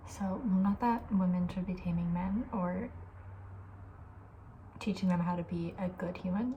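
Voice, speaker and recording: A woman speaking in a soft, breathy ASMR style, often slipping into a whisper, chatting casually in a gentle, hesitant way. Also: fairly low pitch, a little slow, muffled recording, slight background noise. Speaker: ASMR video creator, host.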